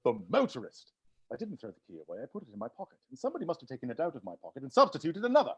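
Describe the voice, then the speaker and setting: An actor voicing an excitable butler who explains a murder mystery in a theatrical, emphatic way during a comedy table read. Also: medium pitch, racing, slightly rough, heavily acted. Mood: sly, explanatory. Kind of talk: live table read of a comedy film script.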